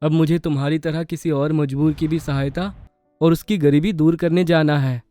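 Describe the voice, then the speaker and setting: A high-pitched, friendly magical carrot played by a man from a cartoon moral story. It speaks emphatically and theatrically, pleading and generous by nature. Music plays behind the dry, close recording. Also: steady tone, moderate pace, warm tone, no room sound, natural voice. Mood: kindly reassuring. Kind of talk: animated moral story acting.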